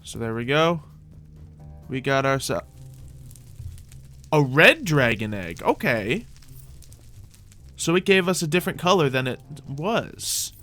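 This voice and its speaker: A man's voice: mid-range voice, very animated, slow pace, long pauses, sharp tone, soft background music. A man hosting a gaming video, thinking aloud in casual gameplay commentary.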